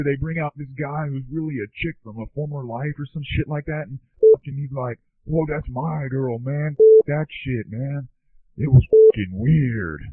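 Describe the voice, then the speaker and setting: A man's voice: fairly high pitch, slightly rough. A man telling slangy comic anecdotes like a surfer dude, recorded with thin telephone-like sound. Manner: surfer-dude drawl, constant bro and man. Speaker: comedy podcast performer. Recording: thin, tinny phone-like sound.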